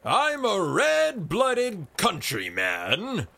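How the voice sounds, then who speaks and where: A man's voice: a little high, wildly swooping pitch, a little slow, vocal fry, pushed and bright, husky, full character voice. A man voicing a fiery, hearty explorer colonel from a fantasy story, boisterous and indignant. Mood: boisterous defiance.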